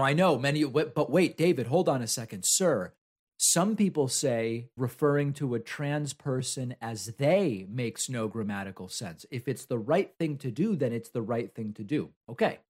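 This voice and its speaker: A man from Argentina whose first language is Spanish hosts a solo political commentary segment. He talks in a conversational, argumentative way and answers objections he raises himself. His voice sits at a middle pitch for a man.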